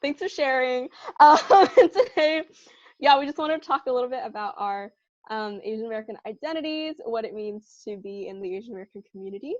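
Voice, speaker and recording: A woman's voice: on the high side, expressive, a little fast, no echo, lo-fi sound. A young woman from Southern California co-hosting a podcast, talking casually with lots of fillers and laughter. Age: young adult. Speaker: podcast co-host. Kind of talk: casual chat.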